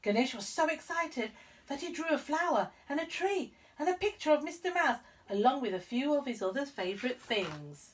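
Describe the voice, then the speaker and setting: A woman narrating a children's story expressively as a storyteller, with clear emphasis for young listeners. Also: sits high, sing-song, moderate pace, distant mic. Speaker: storyteller, school reader.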